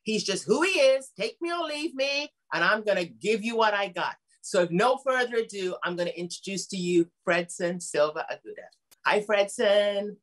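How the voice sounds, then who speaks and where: A woman hosts an interview show. She talks with gushing, effusive enthusiasm and greets her guest with terms of endearment. Her voice sits at an ordinary middle pitch. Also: expressive, moderate pace. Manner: effusive, gushing, calls the guest sweetheart and honey. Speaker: show host.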